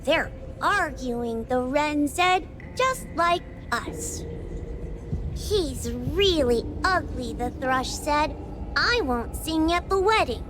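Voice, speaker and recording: A woman playing an excitable, childlike young female character in an anime video game, with an emphatic, dramatic delivery. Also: sits high, takes it slowly, over music. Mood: storytelling. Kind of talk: animated anime game voice acting.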